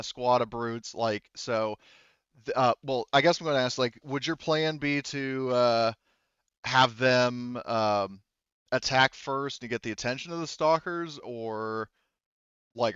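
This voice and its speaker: Clear-voiced man running a tabletop game. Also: medium pitch, fairly bright tone, no echo, dull audio. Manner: halting, casually profane. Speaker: game master. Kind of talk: running a tabletop roleplaying game, casual improvised narration.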